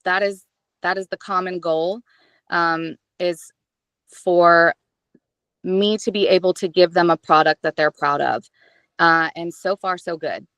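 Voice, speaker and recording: A woman audiobook narrator chatting as an interview guest, expressive and self-deprecating, with a casual, animated way of talking. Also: lowish voice, measured pace, no echo.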